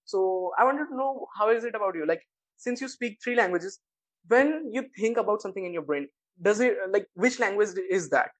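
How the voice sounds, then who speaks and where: A man's voice: high voice, animated, normal speed, poor audio. A man from India with an Indian English accent, a native Hindi speaker, hosting a podcast and asking questions in a casual, hesitant way. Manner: hesitant, lots of fillers and restarts, curious. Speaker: podcast host.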